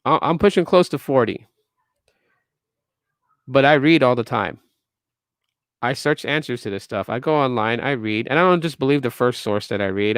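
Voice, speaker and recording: A man's voice: medium pitch, a bit bright, clear, resonant tone, no room sound. A man in his late thirties hosting a tech podcast, ranting casually to viewers with emphatic repeats and restarts. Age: 30s. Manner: emphatic, repetitive restarts, lecturing.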